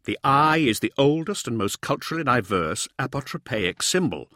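A man voicing a matter-of-fact, quietly authoritative male expedition leader in an audiobook, measured, firm and a little impatient. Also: mid-range voice, sing-song, no room sound, studio quality, voice pushed up. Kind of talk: audiobook character dialogue.

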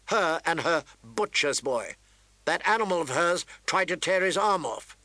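A man's voice: fairly high pitch, expressive, unhurried, pushed and bright, no echo, pitched above the performer's own voice. A man voicing a haughty, hostile queen with an indignant, accusing manner in a storybook reading. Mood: cold accusation.

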